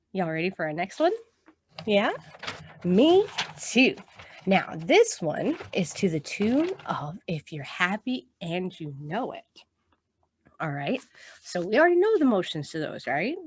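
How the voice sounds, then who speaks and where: A woman's voice: medium pitch, slightly rough. A woman with an American accent hosting a children's storytime, reading aloud and speaking to the children in an encouraging way. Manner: encouraging, addresses children directly with questions and praise. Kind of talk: read-aloud storytime and action songs for young children.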